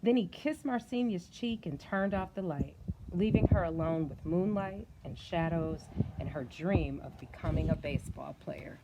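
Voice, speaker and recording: A woman's voice: lowish voice, moderate pace, lo-fi sound, very noisy. A woman reading a storybook aloud expressively, with casual asides and questions to her co-reader.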